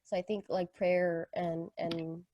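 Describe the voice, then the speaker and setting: A woman's voice: fairly low pitch, little pitch movement, slow, dry recording, lo-fi sound. A young woman from Kenya, a podcast co-host telling personal stories in casual, slangy, jokey talk. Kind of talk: casual chat, personal storytelling.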